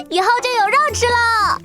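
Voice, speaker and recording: Casting: childlike beast-folk girl, very high register, blunt and eager, animated fantasy. Faint music behind. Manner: childlike, refers to self by name. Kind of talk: animated fantasy drama acting.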